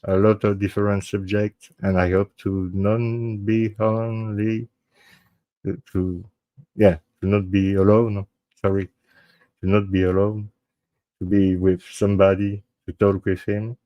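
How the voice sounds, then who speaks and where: A Belgian man with a French accent, native French speaker, talks slowly in a fairly low voice. His English comes out halting and full of restarts, earnest and friendly, with bits of French mixed in. The sound is close and dry, with no room echo. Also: level tone, muffled recording. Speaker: host of a true crime live show. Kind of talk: casual live-stream sign-off.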